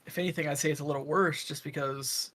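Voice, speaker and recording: A man with a fairly high voice talks frankly about his community in a casual interview. He is animated and candid, heard over a voice call. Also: fast. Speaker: game community owner.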